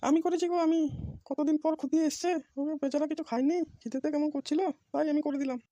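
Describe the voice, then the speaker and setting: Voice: high-pitched, steady tone, fast, dark tone, dry recording. A performer voicing a kindly, doting aunt in a comic cartoon, fussing and caring. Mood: soothing. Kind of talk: amateur comic cartoon dubbing. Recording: muffled, faint background noise.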